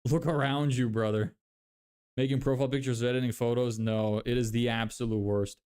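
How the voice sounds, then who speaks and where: A man's voice: mid-range voice, fairly even intonation, brisk, darkish tone, clear, resonant tone. Blunt man streaming live. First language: Lithuanian. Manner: loose and blunt, swears, many fillers and restarts. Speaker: livestreamer reading chat questions. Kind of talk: casual live-stream chat.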